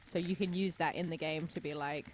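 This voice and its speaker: A woman's voice: deep voice, fairly even intonation, fairly quick, a little hiss or hum, low-quality recording. A young woman co-hosting a let's play, chatting casually and giggling often. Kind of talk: casual gaming chat.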